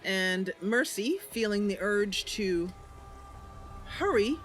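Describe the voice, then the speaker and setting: A woman's voice: mid-range voice, lively intonation, takes it slowly, soft background music. A woman giving casual gameplay commentary and narration as the host of a roleplay series. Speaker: gamer hosting a roleplay series.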